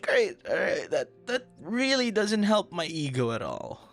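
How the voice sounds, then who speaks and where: A man's voice: high voice, very animated, unhurried, fairly bright tone, soft background music, exaggerated voice. A man playing a shy, dorky young man in an ASMR boyfriend roleplay, stammering and bashful, smitten with a stranger. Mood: flustered, embarrassed. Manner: stammering, bashful, awkward, breathy laughs and sighs.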